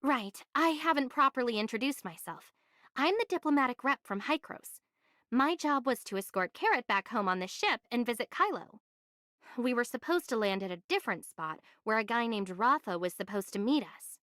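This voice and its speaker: A woman's voice: on the high side, lively intonation, moderate pace, fairly bright tone, dry recording, studio quality. A woman voicing a level-headed, assertive young envoy in an anime game, speaking in an earnest, articulate way. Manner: earnest, articulate, matter-of-fact.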